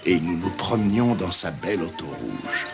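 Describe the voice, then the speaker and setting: A man playing a grown elephant who narrates memories in a French cartoon, dignified, nostalgic and gently wry. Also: deep voice, sing-song, moderate pace, gravelly, exaggerated voice. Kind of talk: scripted storybook narration in French-dubbed cartoon. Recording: music under the narration.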